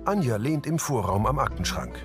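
A man's voice: medium pitch, very animated, normal speed. A man reading scripted present-tense scene narration as an audio describer for a drama. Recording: music behind the narration. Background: background music.

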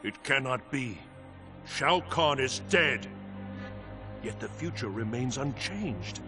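A man playing a grave mystical guardian, measured and portentous, in dramatic game acting. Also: medium pitch, lively intonation, over music. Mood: troubled. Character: solemn, grave mystical guardian full of foreboding.

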